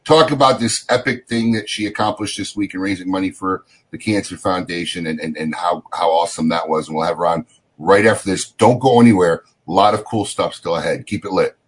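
A man's voice: low-pitched, fairly even intonation, fairly quick, breathy edge. A man hosting a talk radio show, chatting casually and hyping the next segment. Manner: upbeat, promo-style sign-offs.

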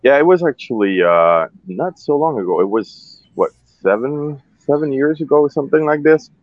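A man's voice: medium pitch, animated, moderate pace, no room sound, highs cut off. A man in his thirties from Switzerland, a cigar maker guest talking loosely and candidly on a radio show, full of fillers and restarts. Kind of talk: relaxed interview talk. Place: radio studio.

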